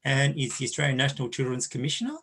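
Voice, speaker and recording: A man hosting an event, with a gravelly voice. He reads out formal introductions of the next speaker. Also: medium pitch, sing-song, rapid-fire, fairly bright tone. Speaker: event host.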